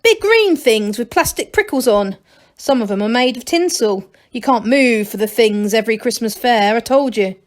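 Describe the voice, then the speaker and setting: Woman reading as impatient, clever male gnome, higher-pitched. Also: animated, normal speed, natural voice. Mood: puzzled, matter-of-fact. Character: Dorcas, clever self-assured male gnome elder, impatient. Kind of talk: children's storybook read aloud.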